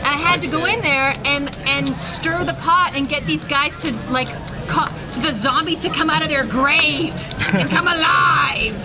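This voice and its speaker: A woman from Poland giving casual, chatty live poker commentary from a booth, bubbly and quick to laugh. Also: high voice, loud music behind the voice, a loud crowd.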